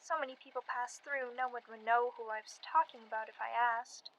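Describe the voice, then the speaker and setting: A woman's voice: on the high side, steady tone, brisk, a bit bright, rough recording. A young woman playing a chatty but weary and frightened college student from 1918 in a radio play. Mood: haunted, uneasy. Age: young adult. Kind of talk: dramatized letter monologue, period radio play.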